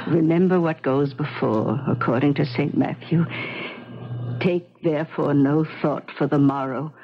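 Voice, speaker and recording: A woman playing a motherly, pious, gently scolding maidservant in a radio drama, with a husky voice. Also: low-pitched, a little slow, a little hiss or hum. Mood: pious, chiding. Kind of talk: 1970s radio drama acting. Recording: dull 1970s radio recording.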